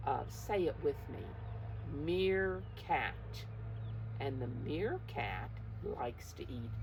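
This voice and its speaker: Female preschool teacher, low voice with lively swings in pitch, encouraging and emphatic, interactive storybook read-aloud, faint background noise. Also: low-quality recording. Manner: encouraging, simple explanations with questions to the listeners. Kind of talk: interactive picture-book read-aloud for young children.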